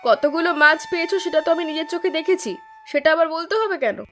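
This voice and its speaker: A woman's voice: very high-pitched, fast talker, a bit bright, faint music behind. A woman voicing a nagging, demanding village wife in a cartoon. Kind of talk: Bengali cartoon voice acting.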